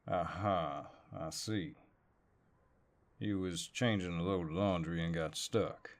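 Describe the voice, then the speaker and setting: A man playing a polite, teasing cowboy neighbor in an audio roleplay, with a deep voice and a hesitant Southern US drawl. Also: slow. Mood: warm teasing. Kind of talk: audio roleplay, intimate one-sided dialogue.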